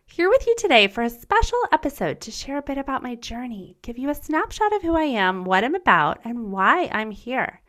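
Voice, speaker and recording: A woman's voice: fairly high pitch, very animated, brisk, few pauses, fairly bright tone. A woman in her forties, a native German speaker from the United States, hosting a solo podcast in an upbeat, encouraging, earnest way. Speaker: podcast host. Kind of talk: scripted solo podcast monologue.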